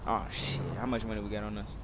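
Low-voiced young man gaming over loud engine noise. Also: little pitch movement, quick pace. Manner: slangy, profane, muttering to himself. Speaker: gamer recording gameplay video. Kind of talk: casual gaming commentary, roleplay. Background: video game car and city sounds.

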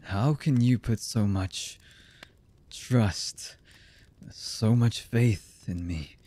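A voice actor playing a cold, embittered villain man in an ASMR roleplay, husky and menacing, with halting delivery that often drops to a murmur. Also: dark tone, no room sound. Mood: threatening, probing. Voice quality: husky, low.

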